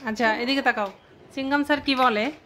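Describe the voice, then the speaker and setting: A woman with a bright, slightly high voice gently questions her young son in a relaxed home video. Her tone is coaxing and curious, the easy talk of a parent drawing a child out. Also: fairly quick, a little hiss or hum. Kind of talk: casual home video chat.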